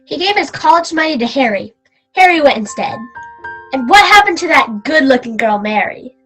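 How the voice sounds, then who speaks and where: A woman's voice: sits high, animated, normal speed, a bit bright, soft background music, low-quality recording. A woman giving scripted narration for an old-time style radio play.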